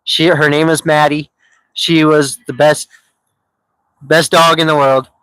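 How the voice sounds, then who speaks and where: Bright, candid man in his thirties. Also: on the high side, level tone, unhurried, clear, resonant tone, no echo, low-quality recording. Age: 30s. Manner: candid, rambling, many fillers and restarts. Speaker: live streamer. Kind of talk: casual live-stream storytelling.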